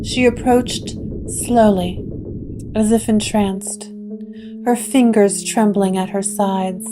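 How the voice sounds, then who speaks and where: Slow, measured woman narrating. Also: medium pitch, fairly even intonation. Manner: measured, atmospheric storytelling. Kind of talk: scripted gothic horror narration. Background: guitar music.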